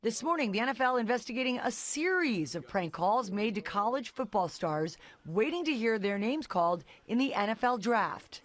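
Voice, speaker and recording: A woman's voice: fairly high pitch, animated, normal speed, hardly any pauses. A woman with an American accent narrating a scripted television news report as a correspondent.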